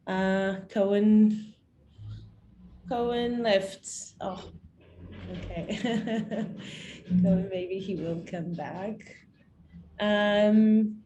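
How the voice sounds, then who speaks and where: A woman's voice: mid-range voice, fairly even intonation, extremely slow, pauses often, mellow, muffled recording, a little hiss or hum. A woman moderating an online panel, thanking speakers in a friendly way.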